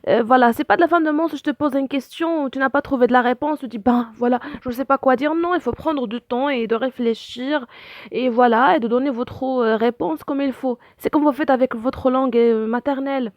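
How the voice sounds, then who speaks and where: A woman whose first language is Arabic teaches a French lesson in a slightly high voice. She fills her talk with "voilà" and stops to hunt for words, correcting herself as she goes. The sound is dry and close. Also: fairly quick, dry recording, muffled recording. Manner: many fillers, repeats "voilà" often; searches for words, self-correcting. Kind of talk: spoken lesson to camera.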